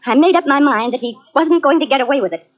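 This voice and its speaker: A woman's voice: sits high, natural voice. An actress playing a tense, defensive widow who falters as she talks, in a 1940s radio drama. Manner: halting, defensive. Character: tense wealthy widow under suspicion. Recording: dull lo-fi old radio recording.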